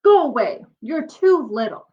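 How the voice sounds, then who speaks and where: Woman doing a bossy, high-pitched little big brother. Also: sing-song, unhurried, muffled recording. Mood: bossy, irritated. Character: scowling young boy, bossy and irritated big brother.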